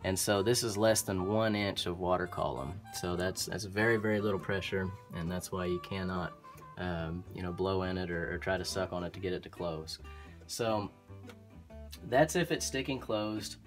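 A man with an Oklahoma accent, an HVAC technician explaining furnace repair in a relaxed, practical way, with frequent ums and restarts. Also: low-pitched, moderate pace, over music. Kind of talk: instructional how-to explanation. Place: indoor utility closet by a furnace.

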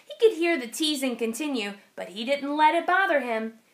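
Casting: female teacher for picture-book read-aloud, high voice, expressive, very lively intonation, clear phrasing. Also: moderate pace. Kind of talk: children's storybook read-aloud. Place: indoors, over an open picture book.

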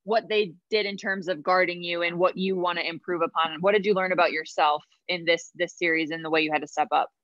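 A woman's voice: lowish voice, fairly even intonation, brisk, hardly any pauses, a bit bright, dry recording. Woman reporter, dry muffled line. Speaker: sports reporter. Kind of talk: press conference question.

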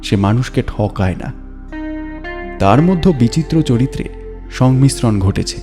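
A man narrating a story in a measured, atmospheric, scripted style with dramatic pauses. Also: mid-range voice, animated, music in the background.

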